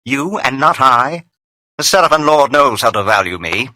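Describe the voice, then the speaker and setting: An actor playing a gloating, treacherous vampire henchman in a video game, raspy and theatrical, with mocking, formal archaic phrasing. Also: medium pitch, very animated, normal speed, background noise, lightly acted. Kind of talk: dramatic video game voice acting.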